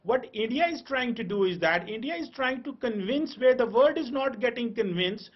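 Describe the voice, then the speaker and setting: A man's voice: extremely high pitch, lively intonation, moderate pace, breathy edge, dull audio. A man arguing as a panelist in a heated TV debate, switching between languages. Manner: argumentative, switches between English and Urdu.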